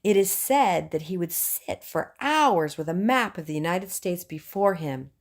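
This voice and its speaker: A woman's voice: lowish voice, lively intonation, unhurried. A woman reading a book aloud as scripted audiobook narration. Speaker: audiobook reader.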